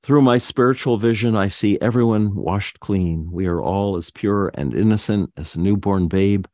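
A man reading a guided spiritual meditation in a slow, soft, soothing manner. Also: on the low side, no echo, studio quality. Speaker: meditation narrator.

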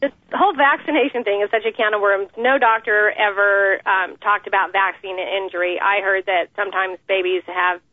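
A woman in an interview, giving an earnest, measured account of her family with many pauses. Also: mid-range voice, steady tone, no room sound. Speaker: interview guest, mother. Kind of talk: phone interview, personal account. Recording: tinny phone line.

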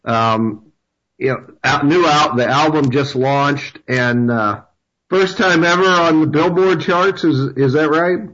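A man hosting a radio interview, speaking haltingly with many fillers and repeated words. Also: on the high side, fairly bright tone, slight background noise. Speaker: radio host. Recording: dull lo-fi radio sound.

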